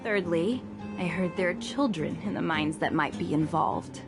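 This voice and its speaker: A woman's voice: on the low side, normal speed, music in the background, lightly acted. A woman playing a wary, street-wise young underworld informant in a video game, guarded and matter-of-fact. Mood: confiding.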